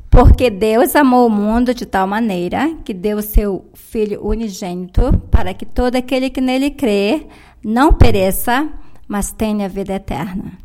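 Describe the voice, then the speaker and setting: A woman from Brazil, a missionary's wife, reciting a Bible verse in a calm, measured way before a congregation. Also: mid-range voice. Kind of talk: reciting scripture.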